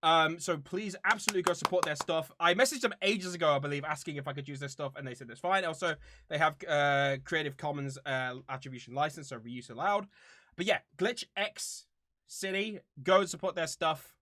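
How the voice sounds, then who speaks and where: A man's voice: a little high, fairly quick, sharp tone, no echo. A man of about thirty chatting casually to viewers as a channel host, in a playful, theatrically mock-serious way. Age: around 30.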